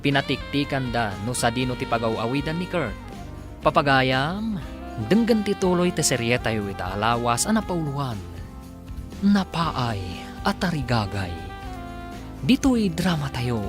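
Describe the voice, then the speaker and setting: Male radio drama narrator, animated and theatrical scripted delivery, clear musical backing. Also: medium pitch, unhurried. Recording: music behind the voice. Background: loud musical score.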